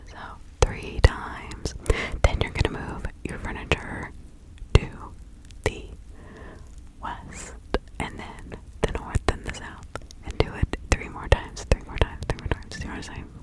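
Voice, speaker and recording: A woman playing a helpful nature witch in a whispered ASMR roleplay, giving instructions in a breathy, halting, conversational way. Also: natural voice, low-quality recording. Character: practical, helpful nature witch advising a client in 1656.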